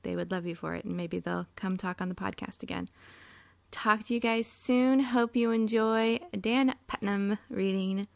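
Female podcast host, casual and enthusiastic, some vocal fry, close dry sound. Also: mid-range voice, fairly even intonation, moderate pace, darkish tone, no echo.

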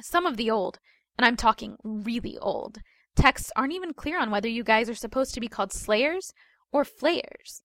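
A woman's voice: fairly high pitch, expressive, moderate pace, a bit bright, dry recording. A sly teenage girl, voiced by a woman reading a story explains things with mock patience and a straight face. The sound is close and dry, and her tone stays matter-of-fact, as if teaching. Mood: thoughtful deadpan. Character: sly teenage girl, mock-wise, deadpan leg-puller.

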